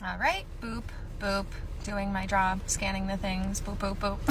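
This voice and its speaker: A woman's voice: medium pitch, very animated, normal speed, noisy background, lightly acted. A woman playing a friendly, goofy female store worker in a retail skit, chirpy and playful.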